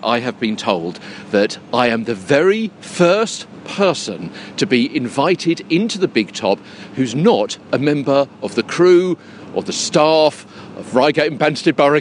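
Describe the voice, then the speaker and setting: A man hosting a podcast on location, chatting and describing his surroundings as he goes. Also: medium pitch. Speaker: podcast host. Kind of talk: on-location podcast presenting and interviewing. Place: on location at a big top tent in a park. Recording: generator hum and outdoor background noise. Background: faint generator and ambient noise.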